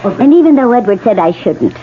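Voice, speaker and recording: A woman's voice: mid-range voice, very animated, a little fast, lightly acted. An actress playing a poised, persuasive woman of the theatre in a 1970s radio drama. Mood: sociable, coaxing. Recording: muffled 1970s radio recording, crowd murmur.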